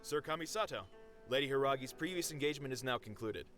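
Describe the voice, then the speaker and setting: A man voices a formal, deferential servant from a fantasy game. His mid-pitched speech is quick, with faint music behind it. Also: lively intonation, fairly bright tone, natural voice. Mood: respectful. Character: deferential male attendant to a lord. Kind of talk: video game voice acting.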